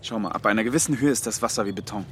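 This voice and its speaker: A man's voice: on the low side, very fast, a little hiss or hum, natural voice. An actor playing a frank, loyal, bookish male friend with a dry manner in a radio drama. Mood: recounting, wry. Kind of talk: radio drama dialogue and narration.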